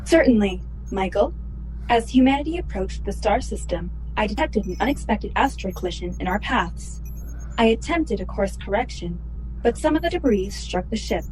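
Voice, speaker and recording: A measured, formal female computer played by a woman from a sci-fi radio drama. Her pitch sits mid-range and her phrasing is precise and calm. Clear noise hums behind her. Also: close to an everyday voice, low-quality recording. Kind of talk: sci-fi radio play acting.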